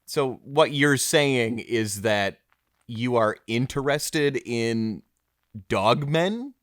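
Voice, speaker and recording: Slow-talking man hosting, dry close sound. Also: mid-range voice, expressive, dry recording. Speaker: podcast host. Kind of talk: mock-documentary comedy interview.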